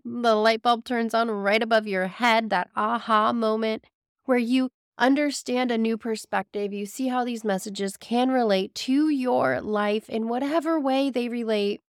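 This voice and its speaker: A woman hosting a spiritual podcast as a psychic medium, talking in a casual, earnest and gently reassuring way while sick, her voice raspy and weak. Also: on the high side, dry recording, studio quality. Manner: earnest, gently reassuring, addresses listeners fondly. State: sick, hoarse.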